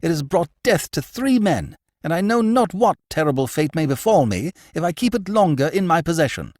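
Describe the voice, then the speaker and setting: A man's voice: medium pitch, very animated, brisk, darkish tone, no echo, studio quality, pitched above the performer's own voice. A man reading a story, voicing a shaken honest young man in earnest, old-fashioned formal speech. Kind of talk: audiobook character voice.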